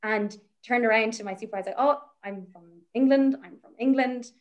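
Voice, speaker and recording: A woman's voice: a little high, moderate pace, dull audio. A young Irish woman researcher, chatting as a guest and telling a story in a rambling way, with plenty of laughter and fillers. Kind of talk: casual anecdote on a podcast.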